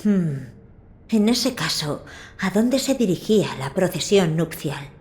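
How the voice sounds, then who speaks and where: A woman playing a gentle, resolute young noble boy in a dubbed video game, speaking softly in a measured, formal way. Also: fairly low pitch, very animated. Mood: curious, thoughtful.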